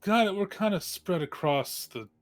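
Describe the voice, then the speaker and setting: A man's voice: sits high, lively intonation, normal speed, faint music behind. A man playing a tabletop role-playing game, talking casually in and out of character.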